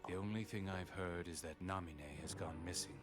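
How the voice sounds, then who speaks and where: Game role: stern, icy commander, male actor, deep and terse, score underneath. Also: moderate pace, natural voice. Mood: cold, stern. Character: cold, curt, calculating commanding man. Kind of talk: English video game dub acting. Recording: game music playing behind.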